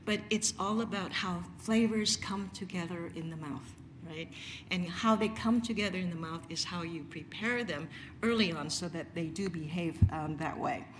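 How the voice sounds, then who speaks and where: A woman's voice: fairly low pitch, moderate pace, a bit bright, a little hiss or hum, rough recording. A middle-aged woman from Vietnam, a chef giving a conference talk, conversational with many fillers and restarts. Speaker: chef presenter. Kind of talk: conference presentation.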